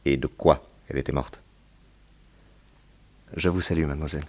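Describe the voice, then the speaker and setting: An actor playing an authoritative detective in a radio drama, formal, probing and insistent. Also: male voice, rumbling low, fairly even intonation, moderate pace, darkish tone, a little hiss or hum. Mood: courteous, suspicious. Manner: commanding, formal, insistent questioning. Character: authoritative male American detective, brisk, probing and courteous.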